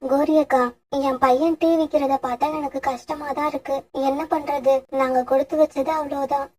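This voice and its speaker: A grieving mother sparrow from a bird cartoon speaks in a low, subdued voice, put on by a woman. She sounds quiet and burdened by worry. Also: little pitch movement, normal speed, close to an everyday voice. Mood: sad.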